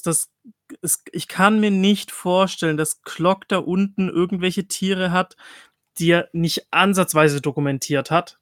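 Higher-voiced man thinking out loud. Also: no room sound, studio quality. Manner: thinks aloud, explains reasoning. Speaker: tabletop game player. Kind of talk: casual game-table talk.

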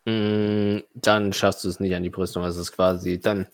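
A man with a low voice leads a tabletop roleplaying game. He talks casually and hesitantly, muttering to himself while he works out the rules. The sound is dry, with very little room in it. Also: level tone, normal speed, vocal fry, no room sound. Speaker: game master.

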